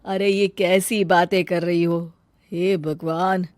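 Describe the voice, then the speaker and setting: A woman voicing a mild older husband in a cartoon story, reasonable and softly chiding. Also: on the low side, little pitch movement, takes it slowly, no echo, pitched below the performer's own voice. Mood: mildly disapproving. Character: mild, disapproving older husband and family father. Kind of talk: Hindi cartoon story dialogue.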